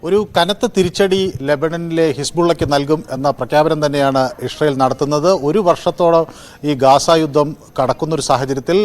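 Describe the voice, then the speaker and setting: A man with a Kerala accent giving an unscripted live news report in halting, pause-filled phrases. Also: fairly high pitch.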